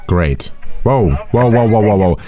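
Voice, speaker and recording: A man's voice: lowish voice, measured pace, mellow, soft background music. A man giving casual reaction commentary as a video host, thinking aloud with informal, sarcastic asides.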